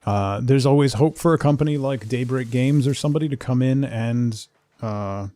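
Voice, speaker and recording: A man hosting a game livestream, rambling casually and haltingly as he thinks aloud and replies to viewers. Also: medium pitch, dark tone, no room sound. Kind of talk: casual chat while playing, answering viewers.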